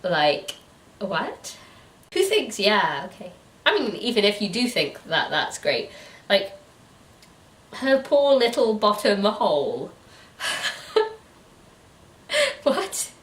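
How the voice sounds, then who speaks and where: Animated, chatty woman in a roomy space. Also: medium pitch, slow, long pauses. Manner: animated, incredulous, informal. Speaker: book review host. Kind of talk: casual chatty commentary. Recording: roomy, echoey room sound.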